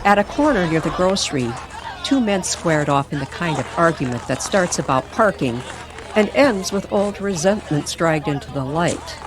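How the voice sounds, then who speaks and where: A woman reading scripted dramatic story narration in a measured, deliberate way. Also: on the low side, animated, crowd noise. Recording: music bed under the voice. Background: music.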